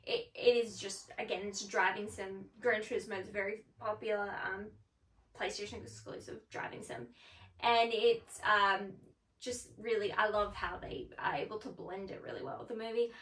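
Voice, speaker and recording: A woman co-hosting a movie review show, chatting casually in an animated way with hesitant phrasing. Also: medium pitch, moderate pace. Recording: echoey room, distant mic.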